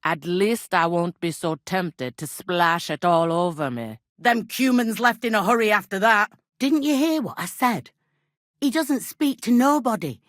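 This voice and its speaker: An actress playing a brusque, gossipy medieval innkeeper in a video game, speaking in earthy, colloquial rustic phrases with folksy idioms. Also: female voice, medium pitch, lively intonation, measured pace, no echo, clean studio sound, close to an everyday voice. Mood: matter-of-fact, brisk, then angry, exasperated. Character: brusque, gossipy medieval village innkeeper woman, plain-spoken, superstitious. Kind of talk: video game character dialogue.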